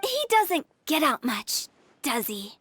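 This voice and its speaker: A woman's voice: high voice, very animated, slow, fairly bright tone, breathy edge, dry recording, full character voice. A woman voicing a perky, cheeky teenage girl in a game dub, playful and confident. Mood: chatty, opinionated. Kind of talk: English game dub voice acting.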